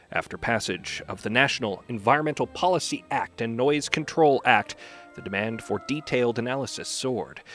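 A man reading scripted narration in a measured, formal announcer manner. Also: on the high side, fairly bright tone.

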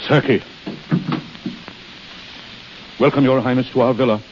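An actor playing an anxious, dignified middle-aged duke, speaking gravely and haltingly in 1930s radio style. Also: male voice, medium pitch, fairly even intonation, a little hiss or hum, natural voice. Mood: formal, uneasy. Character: anxious, dignified duke hosting a dreaded guest. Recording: muffled 1930s radio recording.

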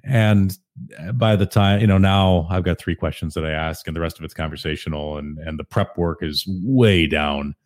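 A man with a deep, calm voice chatting casually on a podcast, relaxed and self-deprecating. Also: normal speed. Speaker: podcast host and guest.

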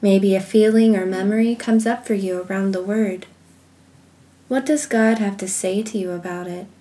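A woman leading guided contemplative prayer and reading scripture in a measured, meditative manner with pauses. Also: mid-range voice, fairly even intonation, warm tone. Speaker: guided prayer podcast host.

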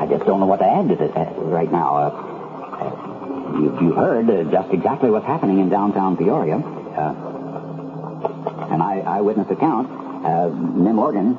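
An actor playing a stunned, flustered news anchor who stammers and fumbles for words in a 1970s radio drama. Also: male voice, lowish voice. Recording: tinny 1970s radio broadcast.

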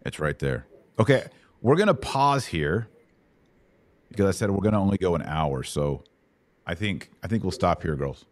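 A man's voice: low voice, lively intonation, normal speed, long pauses. A middle-aged American man hosting and teaching in a casual live Bible Q&A, with a folksy, informal manner.

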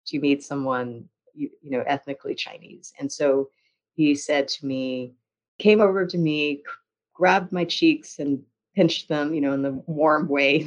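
A woman with an American accent telling her story conversationally as an interview guest, in a measured way with frequent pauses. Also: sits low. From United States. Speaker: interview guest, journalist and author. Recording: dull, lo-fi audio.

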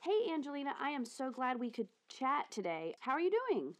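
A woman's voice: high-pitched, sing-song, fairly quick. A woman hosting a podcast interview, speaking in an upbeat, encouraging way. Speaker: podcast host. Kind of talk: warm podcast interview and introduction.

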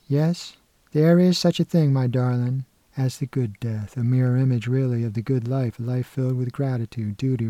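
A man's voice: medium pitch, mellow, dry recording, voice pushed up, natural voice. A man voicing an elderly, pious great-aunt who rambles tenderly in a sing-song, churchy way at a sick child's bedside.

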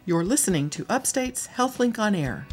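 A woman hosting a radio health talk show, interviewing guests with brisk, short prompts and acknowledgments. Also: mid-range voice, sing-song, over music.

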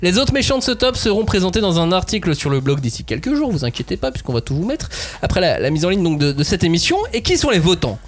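A young man hosting a panel podcast, lively and jokey with theatrical emphasis. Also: high-pitched, fast talker. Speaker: host.

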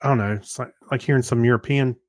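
A man co-hosting a casual podcast, reading items and chatting haltingly, often stumbling over his words. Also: mid-range voice, steady tone, dry recording, clean studio sound. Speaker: podcast co-host.

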